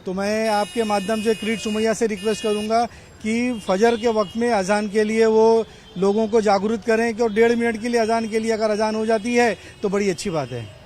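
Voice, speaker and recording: High-pitched courteous man, Indian accent. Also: moderate pace, a little mellow. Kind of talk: street interview statement. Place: outdoors on a city street. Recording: outdoor street, faint traffic noise.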